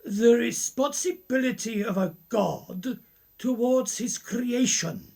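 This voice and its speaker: An actor playing an older male professor in a radio play, genial and probing, with formal, measured old-world courtesy. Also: very high voice, creaky, darkish tone, breathy edge, heavily acted.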